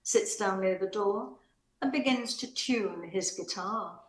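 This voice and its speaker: Woman narrator, scripted stage directions, medium pitch, roomy echoing recording. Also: a little slow. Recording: echoey room.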